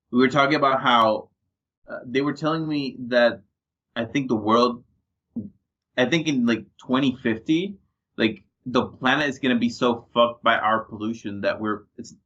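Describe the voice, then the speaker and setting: A man co-hosting a casual podcast, talking in an animated way, swearing freely and acting out his examples. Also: medium pitch. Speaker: podcast co-host. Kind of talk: casual chat. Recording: dull lo-fi sound.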